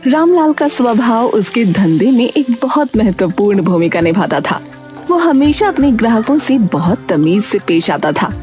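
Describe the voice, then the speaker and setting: A woman narrating a cartoon story in a steady, explaining tone. Her voice sits high, and faint music plays beneath it. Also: moderate pace.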